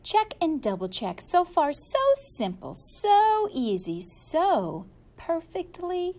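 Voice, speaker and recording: A woman reading a children's story aloud in a playful, expressive way, leaning on words for emphasis. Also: high voice. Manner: animated, playful, drawn-out emphasis. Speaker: storybook reader.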